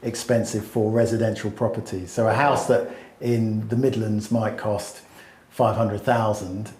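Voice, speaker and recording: A man's voice: fairly low pitch, level tone, unhurried, darkish tone, reverberant. A man explaining land buying at length in a casual chat, with many fillers and restarts. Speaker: smallholding expert.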